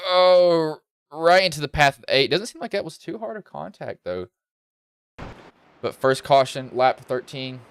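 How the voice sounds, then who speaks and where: A young man with a slightly deep voice commentating a sim truck race live, casual and rambling, getting excited when cars wreck. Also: a little slow, gravelly, clean tone, dry recording, clean studio sound. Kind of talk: live sim racing play-by-play.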